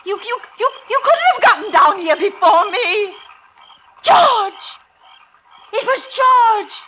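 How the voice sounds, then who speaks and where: An actress in an old radio suspense drama playing a frantic, guilty wife, breathless and stammering in a melodramatic style. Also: female voice, extremely high pitch, expressive, takes it slowly, a little hiss or hum, full character voice. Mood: terrified, hysterical. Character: frantic, guilty wife pleading for her life. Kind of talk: 1940s radio suspense acting. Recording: dull old radio recording, loud music behind.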